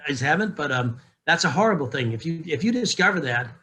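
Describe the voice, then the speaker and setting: Middle-aged man, bright tone, slightly high pitch, candid, joking, casual panel talk. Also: big pitch swings, quick pace. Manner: candid, joking, restarts often. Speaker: narration coach.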